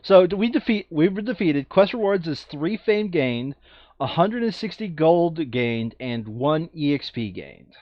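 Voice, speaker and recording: A man's voice: fairly high pitch, expressive, unhurried, no echo, muffled recording. A man playing a board game, casually narrating the steps and muttering asides to himself as he works through them. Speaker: tabletop game player and narrator.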